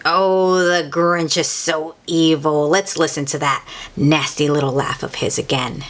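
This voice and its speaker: A woman's voice: deep voice, fairly even intonation, measured pace. A woman reading a picture book aloud expressively to young children, adding exclamations and drawing words out for effect. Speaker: children's read-aloud host.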